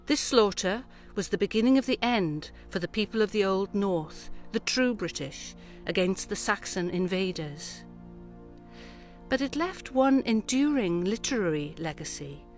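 A woman from Wales, a Welsh speaker, narrating a radio documentary in a measured, reflective way. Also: medium pitch, expressive, faint music behind. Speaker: poet presenter.

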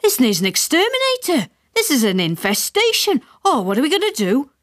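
A woman's voice: high voice, huge pitch swings, brisk, pitched above the performer's own voice. A woman voicing a panicky middle-aged father, frantic and shrieking in alarm.

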